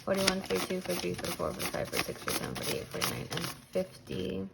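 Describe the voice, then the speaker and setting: A woman with a hoarse, raspy voice from losing it, chatting casually while counting out cash into budget envelopes. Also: lowish voice. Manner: counts bills aloud in steady rhythm. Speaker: budgeting vlogger. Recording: noisy home recording.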